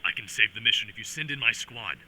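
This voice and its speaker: A man's voice: lowish voice, fast, background noise, natural voice. A man playing a determined soldier reporting from the field in terse, dramatic scripted lines. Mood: focused, wary. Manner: terse radio-report delivery. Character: determined male soldier leading a field squad.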